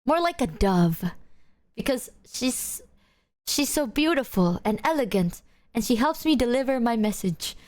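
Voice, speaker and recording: Young streamer woman, bouncy high voice, jokey to chat, desk mic. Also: lively intonation, measured pace. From Indonesia. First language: Indonesian. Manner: playful, jokey, addresses chat, slangy. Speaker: VTuber streamer. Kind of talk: casual live-stream chat to viewers.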